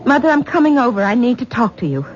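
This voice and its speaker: An actress playing an anxious, distraught young wife in a 1970s radio drama. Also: female voice, fairly high pitch, sing-song, fast talker. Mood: agitated, distressed. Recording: dull 1970s radio recording, noisy.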